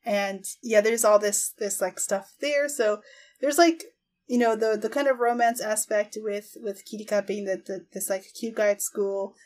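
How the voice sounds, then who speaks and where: A woman's voice: mid-range voice, moderate pace, darkish tone. A woman on a podcast giving a loose, rambling recap in casual chat, with little laughs between phrases.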